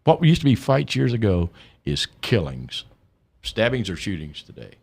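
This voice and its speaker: An older man, a guest on a radio talk show, telling stories in an animated way and repeating words as he gets going. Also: on the low side, moderate pace, gravelly. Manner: animated storyteller, repeats words when excited. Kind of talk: radio interview.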